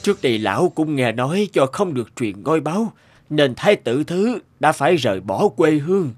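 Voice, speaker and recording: A man's voice: on the high side, big pitch swings, moderate pace, darkish tone, soft background music. A man playing a humble, deferential old village innkeeper in a cartoon fairy tale, speaking in a hesitant, polite, servile way. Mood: apologetic.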